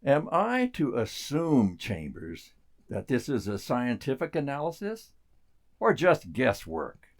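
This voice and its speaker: An actor playing a brusque, skeptical military colonel in a radio drama, probing in short clipped phrases. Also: male voice, mid-range voice, huge pitch swings, takes it slowly, creaky, exaggerated voice. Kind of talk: stage-style radio drama acting.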